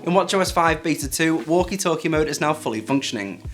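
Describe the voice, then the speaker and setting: A man's voice: a little high, animated, quick pace, a bit bright. A man hosting a tech video, narrating a scripted hands-on review. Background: background music.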